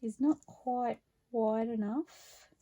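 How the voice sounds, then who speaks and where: A woman talks through her crafting at a slow, easy pace. Her voice sits a little high and sounds mellow, with a croaky edge today. She chats casually, narrating each step as she works. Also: dull audio. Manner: chatty and unhurried, talking through each step. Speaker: craft vlogger. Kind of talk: relaxed craft narration while working.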